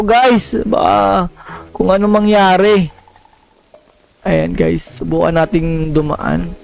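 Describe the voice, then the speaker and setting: A man's voice: high-pitched, creaky. A Filipino man hosting casual, jokey gameplay commentary, speaking straight to his viewers. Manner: jokey, addresses viewers directly. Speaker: gaming content creator, host.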